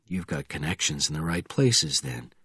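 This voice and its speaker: A man voicing a calm, menacing male action hero, quiet, terse and controlled, in an audiobook thriller. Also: deep voice, moderate pace, husky, dry recording, studio quality, pitched below the performer's own voice, exaggerated voice. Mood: cold menace.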